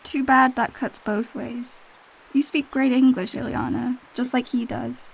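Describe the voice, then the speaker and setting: A woman playing a plucky, casual teenage mutant girl in an audio drama, with a slightly creaky voice. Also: a little high, steady tone, unhurried, full character voice. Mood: wry, defensive.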